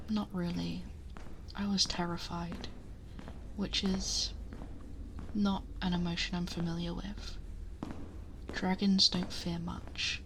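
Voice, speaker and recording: A woman playing a possessive, protective dragon girl in an intimate ASMR roleplay, speaking softly and breathily, often whispering, close to the microphone in a measured murmur. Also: lowish voice, noisy background. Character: possessive female dragon girl, protective, commanding yet tender. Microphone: close binaural ASMR microphone.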